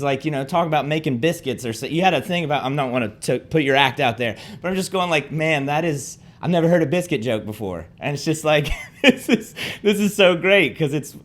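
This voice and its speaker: Rambling young podcast host. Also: male voice, fairly high pitch. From Southern US. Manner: rambling, enthusiastic with many fillers. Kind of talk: casual interview chat.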